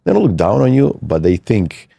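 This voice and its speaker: Deep mellow guy w/ hosting a podcast, rambles, dry close mic. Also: wildly swooping pitch, normal speed, creaky, husky, no room sound. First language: Serbian. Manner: rambling, many restarts. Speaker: podcast host. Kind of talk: casual interview chat.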